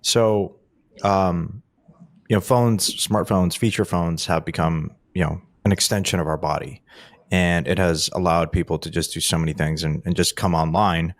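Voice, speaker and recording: A man's voice: sits low, steady tone, moderate pace, no room sound, studio quality. A man hosting a podcast, asking long, rambling questions full of restarts and "like". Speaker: podcast host.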